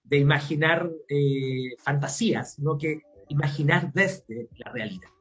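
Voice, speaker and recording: A man with a Chilean accent gives a reflective explanation as an interview guest. His voice is on the high side. He talks haltingly, often searching for the next word. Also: fairly bright tone, slightly rough. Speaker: interview guest, academic and playwright.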